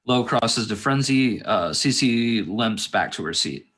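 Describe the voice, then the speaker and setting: A man's voice: mid-range voice, animated, takes it slowly, fairly bright tone. A man narrating stage directions from a script at a table read.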